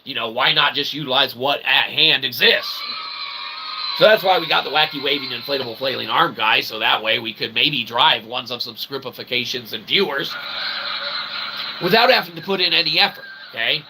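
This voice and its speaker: A man hosting an online show, delivering a rambling, wordy, mock-formal comic monologue with animated bursts. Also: mid-range voice, moderate pace, background noise. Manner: mock-formal, wordy phrasing with frequent check-in tags. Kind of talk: rambling comedic monologue to a livestream chat.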